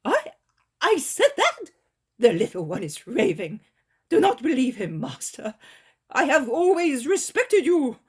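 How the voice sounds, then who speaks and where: A woman voicing a desperate old grandfather, pleading in a high, loud and tearful voice. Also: wildly swooping pitch, a little slow, heavily acted. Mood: flustered denial.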